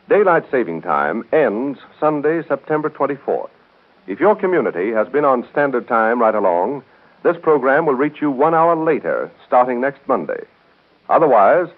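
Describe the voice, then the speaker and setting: A man announcing formally from a script in 1930s radio style. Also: mid-range voice. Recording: tinny 1930s radio broadcast with faint music.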